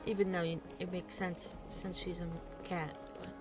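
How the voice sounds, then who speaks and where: A woman's voice: lowish voice, little pitch movement, moderate pace, rough recording. A woman streamer giving informal, blunt, wry commentary while playing a game. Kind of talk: casual live game commentary. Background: game music.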